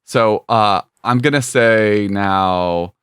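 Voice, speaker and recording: A man's voice: lowish voice, takes it slowly, fairly bright tone, smooth, dry recording, clean studio sound. A man co-hosting a casual podcast who thinks aloud, hedging his guesses and joking. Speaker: podcast co-host.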